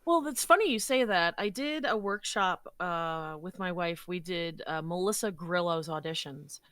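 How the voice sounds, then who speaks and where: A woman's voice: on the low side, lively intonation, moderate pace, fairly bright tone, dry recording, studio quality. A woman speaking as an interview guest, explaining her craft conversationally and thinking aloud as she goes. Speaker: voice actor, podcast guest.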